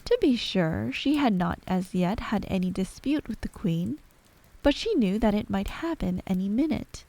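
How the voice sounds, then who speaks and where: A woman's voice: mid-range voice, expressive, moderate pace, vocal fry, dark tone, dry recording. A woman storyteller reading a scripted bedtime story in an ASMR narration style.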